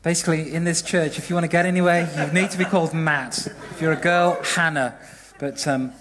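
A man's voice: on the high side, steady tone, a little fast, crowd noise. A man leading a church service, interviewing a member of the congregation in a jokey, upbeat way. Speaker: church leader hosting.

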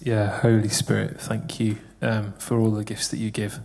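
A man's voice: fairly low pitch, monotone, normal speed, creaky, a little mellow. A man praying aloud for someone in church, earnest, repeating phrases as he goes. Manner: earnest, repetitive prayer phrasing. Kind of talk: spoken prayer.

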